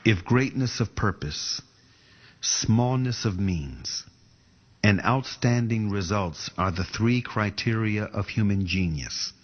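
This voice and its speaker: A man doing a slow, measured voice-over reading of formal quotations. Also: lowish voice, breathy edge. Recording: dull lo-fi audio. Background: faint music.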